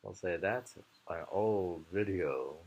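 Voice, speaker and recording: A man reading poetry slowly and commenting on it casually, in an unhurried, musing and informal manner. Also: low-pitched, darkish tone, slightly rough, lo-fi sound. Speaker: poetry reader.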